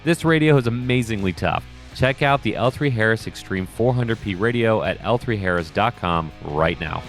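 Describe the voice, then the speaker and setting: A man reading sponsor spots in an upbeat, higher pitched, punchy voice, slightly off-mic at times. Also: normal speed, soft background music, lightly acted. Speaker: ad reader.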